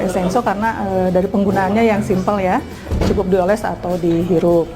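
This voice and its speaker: Woman, average pitch, informal testimonial, office employee, music underneath. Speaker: private company employee giving a testimonial. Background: background music.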